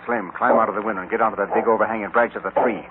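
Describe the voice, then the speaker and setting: An actor playing a bossy, scheming outlaw gang boss in an old-time radio western. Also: male voice, fairly low pitch, fairly even intonation, racing, close to an everyday voice. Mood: tense. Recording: scratchy, tinny vintage radio broadcast.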